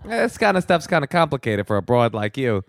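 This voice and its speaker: A man's voice: mid-range voice, big pitch swings, fairly quick, creaky, no echo, studio quality. An American man co-hosting a comedy podcast, chatting casually in a wry, joking way. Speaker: podcast co-host.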